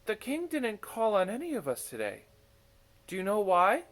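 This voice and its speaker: A timid, kindly storybook woman, put on by a male reader, pitched well up. She is earnest and halting in her speech. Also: wildly swooping pitch, a bit bright, exaggerated voice. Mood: concerned. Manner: hesitant, earnest.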